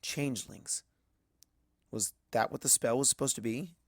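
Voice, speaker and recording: A man's voice: medium pitch, normal speed, vocal fry, no room sound, clean studio sound. A man reading a first-person story aloud as dramatic scripted narration. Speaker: audiobook narrator of fan fiction.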